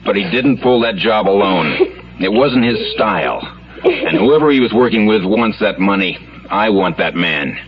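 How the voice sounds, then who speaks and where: An actor playing a blunt, dogged police lieutenant in an old-time radio crime drama, questioning in a clipped, insistent way. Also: male voice, lowish voice, lively intonation, moderate pace, a crowd in the background, close to an everyday voice. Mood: irritated, insistent. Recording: muffled, noisy old radio drama recording.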